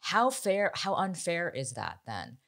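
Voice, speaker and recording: A low-voiced American woman in her fifties hosts a panel discussion. She speaks candidly and with emphasis, in the conversational way of a journalist. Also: wildly swooping pitch, slow, vocal fry, a bit bright. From Ohio, American Midwest. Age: 50s. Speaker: talk show host and journalist.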